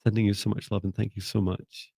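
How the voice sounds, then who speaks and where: A man teaching a live online spiritual class, speaking in a calm, gentle, soft-spoken way and pausing often to restart his sentences. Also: on the low side, vocal fry, slightly rough, dry recording. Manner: hesitant, with repeated restarts. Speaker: spiritual mentor. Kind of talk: live online class, reading viewer comments.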